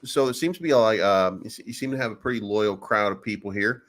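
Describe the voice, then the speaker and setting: A man's voice: lowish voice, quick pace, fairly bright tone. A man hosting a casual livestream chat, joking and rambling loosely. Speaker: host.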